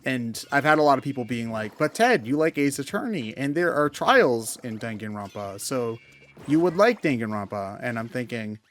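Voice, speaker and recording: A man's voice: medium pitch, expressive, normal speed, fairly bright tone, slight background noise. A man giving casual video game commentary, talking in a hesitant, rambling way. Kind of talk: casual gameplay commentary chat.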